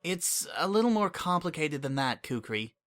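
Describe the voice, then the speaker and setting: A man voicing a tired, guarded young female unicorn in an audiobook-style reading, with a slightly raised pitch and a defensive edge. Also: big pitch swings, normal speed, a bit bright. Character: weary, defensive young mare unicorn on the run.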